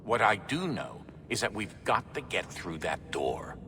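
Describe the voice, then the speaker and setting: A man's voice: lowish voice, big pitch swings, a little slow, noisy background. An actor playing a confident older father and leader in a video game, speaking emphatically and matter-of-factly. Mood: grave, resolute. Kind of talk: dramatic video game dialogue.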